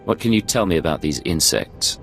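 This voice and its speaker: An actor playing a suave, cocky Imperial secret agent in a video game, with a deep gravelly voice and smooth assurance. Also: male voice, big pitch swings, a little fast, fairly bright tone, full character voice. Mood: cool, probing. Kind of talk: dramatic video game acting. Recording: long reverb under music.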